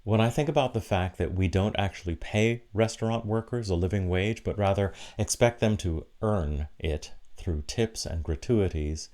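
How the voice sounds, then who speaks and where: Low-voiced man giving a reflective sermon. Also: darkish tone. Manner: measured, deliberate phrasing with short emphatic pauses. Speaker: preacher giving a sermon for an online church service.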